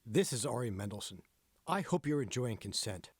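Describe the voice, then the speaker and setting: A man's voice: medium pitch, big pitch swings, moderate pace, husky, dry recording, studio quality. A man reading a novel aloud as scripted audiobook narration. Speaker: author reading own audiobook.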